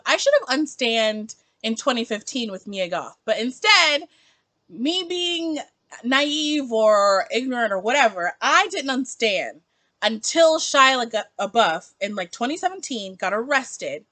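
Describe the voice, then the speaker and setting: Twenty-something woman vlogging her opinions, bright voice that jumps all over, says like a lot. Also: fairly high pitch. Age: 20s. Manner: animated, emphatic, heavy use of "like". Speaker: book vlogger. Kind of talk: casual opinion vlog.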